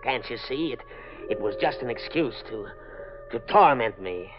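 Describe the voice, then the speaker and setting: A man's voice: mid-range voice, animated, normal speed, music in the background, natural voice. A man playing a desperate middle-aged father in 1940s radio drama, hesitant and defensive. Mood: tense, haunted. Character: troubled, desperate father, a failed painter. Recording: muffled 1940s radio recording.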